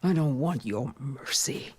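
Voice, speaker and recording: A woman's voice: extremely low pitch, lively intonation, breathy edge, dry recording, full character voice. A woman playing a proud, defiant defeated rebel warrior in a video game, with dramatic, scornful delivery. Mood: grim, solemn. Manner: dramatic, scornful, slow and weighty.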